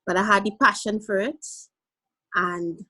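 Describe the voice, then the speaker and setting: Guyanese woman, animated and playful. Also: medium pitch, unhurried, breathy edge, low-quality recording. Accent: Guyanese, mixed with Caribbean. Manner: animated, laughs easily, playful. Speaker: guest. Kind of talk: casual interview chat.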